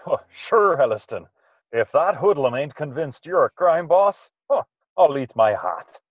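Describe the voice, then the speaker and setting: Man playing folksy steadfast sidekick, radio mystery, animated delivery, dull echoey call recording. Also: on the high side, measured pace. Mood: amused. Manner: colloquial, emphatic, encouraging. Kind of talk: period radio mystery acting.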